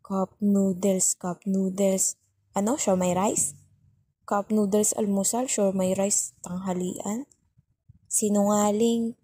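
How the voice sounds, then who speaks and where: Woman acting as nagging, mellow-voiced Filipino girlfriend. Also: medium pitch, flat delivery, slow, slightly rough. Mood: exasperated scolding. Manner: scolding, sulky, teasing, repeats herself for emphasis. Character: nagging, worried, overthinking young girlfriend, bossy but caring. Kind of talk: intimate ASMR girlfriend roleplay.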